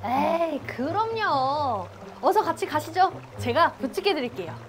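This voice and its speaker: A woman's voice: sits high, very animated, unhurried, music in the background, exaggerated voice. A woman playing a kind, earnest and respectful young man in a children's storybook. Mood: kind, eager.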